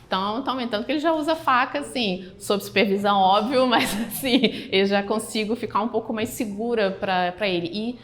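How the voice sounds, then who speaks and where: A woman's voice: medium pitch, brisk, few pauses, slight background noise. A woman with a Brazilian accent giving a spontaneous interview testimonial, explaining hesitantly and searching for words.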